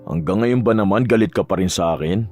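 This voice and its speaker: Low-voiced cocky young playboy, acted by a man. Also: brisk, darkish tone, soft background music, close to an everyday voice. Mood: taunting, coaxing. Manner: smug, teasing, drawling taunts. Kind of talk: Tagalog romance audio drama acting.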